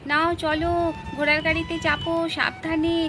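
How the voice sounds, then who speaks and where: A woman's voice: extremely high pitch, level tone, moderate pace, over music. A woman playing an indulgent young father doll in a children's story, coaxing and instructing. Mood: obliging.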